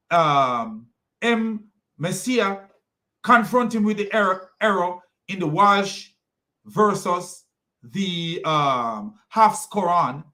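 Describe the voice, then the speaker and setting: A man's voice: high voice, pushed and bright. A Jamaican man ranting in an online commentary video, mocking and scornful, rambling with many restarts. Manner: mocking and scornful, with repeated insults. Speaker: religious commentary video host.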